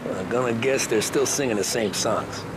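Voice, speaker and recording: A man's voice: mid-range voice, moderate pace, very noisy, lightly acted. An actor playing a dry, dutiful 1930s American mob hitman in a crime game, speaking in a laconic, understated way. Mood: dry, wry. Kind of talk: cinematic crime game acting.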